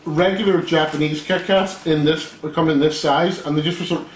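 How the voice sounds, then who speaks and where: A man's voice: fairly high pitch, steady tone, normal speed. A man in his thirties hosting a snack taste test with friends, joking, rambling and laughing often, recorded in a small room lined with bookshelves. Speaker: host. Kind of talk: casual chat. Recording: echoey room, faint hiss.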